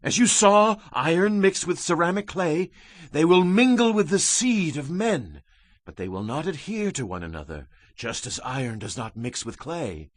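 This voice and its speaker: A man's voice: a little high, very animated, normal speed, no echo, studio quality. An actor playing a solemn male prophet, declaiming emphatically in a dramatized scripture reading. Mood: emphatic, prophetic. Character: Daniel, male prophet solemnly interpreting a king's dream.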